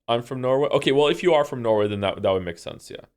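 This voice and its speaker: A man from Wales livestreaming, thinking aloud in a rambling, excitable, incredulous way as he chats casually with viewers during a game. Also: medium pitch, fast talker, smooth. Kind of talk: casual chat with stream viewers while playing a guessing game.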